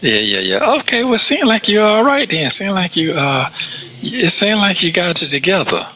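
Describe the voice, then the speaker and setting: A man's voice: high-pitched, sing-song, normal speed, pushed and bright. A man chatting casually on a personal call, repeating phrases for emphasis and stammering into his sentences. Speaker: caller on a prison call. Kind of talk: casual private phone chat.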